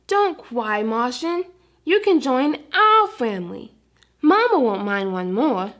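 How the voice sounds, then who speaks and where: A woman's voice: sits high, big pitch swings, slow, fairly bright tone, heavily acted. A woman voicing a mischievous, earnest little boy puppy with babyish grammar in a children's storybook. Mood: concerned, kind. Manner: babyish grammar, says dat for that. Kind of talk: read-aloud children's storybook character.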